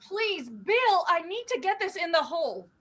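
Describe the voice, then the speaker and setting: An actress in a staged play reading, playing a reproachful dead mother who loves golf, speaking deliberately. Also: extremely high pitch, very animated, fairly bright tone, heavily acted. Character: narrator's dead mother, scolding golf-loving elderly housewife ghost.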